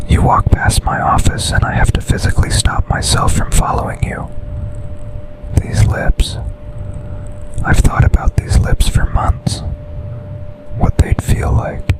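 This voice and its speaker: A man playing a smitten, commanding yet tender boss and secret lover in an intimate ASMR roleplay, speaking in a breathy, seductive whisper. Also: close to an everyday voice, rough recording. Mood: tender, longing. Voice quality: breathy, almost entirely unvoiced whisper. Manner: slow, deliberate, seductive, intimate.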